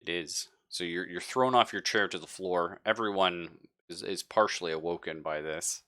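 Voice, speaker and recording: Deep-voiced male game master, bright edge. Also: normal speed. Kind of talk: improvised tabletop game narration.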